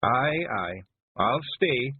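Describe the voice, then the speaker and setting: A man voicing a small, brawling, brooding fairy clan chief with a broad Scottish accent and a gruff, blustering delivery. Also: medium pitch, animated, drawn-out words, a little hiss or hum, pitched above the performer's own voice. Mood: subdued. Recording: phone-like narrow band.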